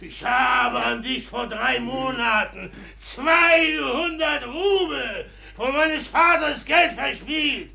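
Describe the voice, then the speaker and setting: A man's voice: very high-pitched, expressive, slow, creaky, few pauses, pushed and bright, full character voice. An actor playing a drunken, boastful, volatile rich man in a German radio play, ranting repetitively and taunting. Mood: gloating fury. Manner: slurred-drunk ranting, repeats words, bursts into laughter.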